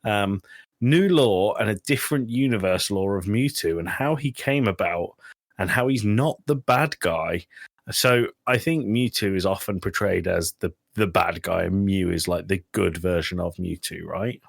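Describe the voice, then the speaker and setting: A man's voice: deep voice, a little slow, gravelly, no room sound, studio quality. A man hosting a podcast in casual chat, with teasing, sweary banter. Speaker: podcast host.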